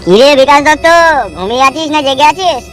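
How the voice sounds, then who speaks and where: A woman's voice: sits high, animated, fast talker. A woman voicing a cocky, emphatic young village man in a cartoon. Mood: taunting. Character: brash, vengeful young village man, self-styled hero. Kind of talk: cartoon melodrama. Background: music.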